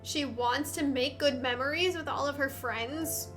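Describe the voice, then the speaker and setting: A woman's voice: high voice, unhurried, fairly bright tone, soft background music. A young woman hosting a let's play, giving casual, sentimental, gushing commentary as she goes.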